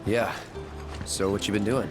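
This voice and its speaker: A man's voice: lowish voice, fairly even intonation, unhurried, over music, close to an everyday voice. A man playing a terse, aloof young hero in a video game, laconic and understated. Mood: reserved.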